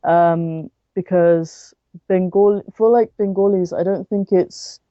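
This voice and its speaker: A woman from the UK with a British accent, talking casually and haltingly as a podcast guest, peppering her sentences with "like". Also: fairly low pitch, fairly even intonation, no room sound, highs cut off. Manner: halting, frequent "like". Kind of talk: casual interview storytelling.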